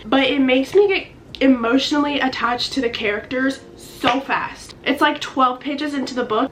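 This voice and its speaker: A woman's voice: a little high, fairly bright tone, slight background noise, rough recording. A nineteen-year-old woman with a congested, stuffy voice, chatting bubbly and gushing in a casual book vlog. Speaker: book vlogger. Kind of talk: casual chat to camera.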